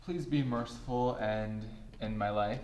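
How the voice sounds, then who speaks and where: A man acting a boozy, sardonic, overworked graduate teacher in a comedy web series, speaking in dry, wordy deadpan. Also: medium pitch, unhurried, noisy background, natural voice. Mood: sardonic, weary.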